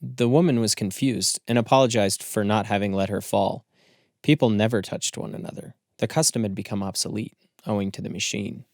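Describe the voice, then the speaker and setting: Low, creaky male audiobook narrator. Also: steady tone, darkish tone, dry recording, studio quality. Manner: measured, formal storytelling. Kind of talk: scripted literary audiobook narration.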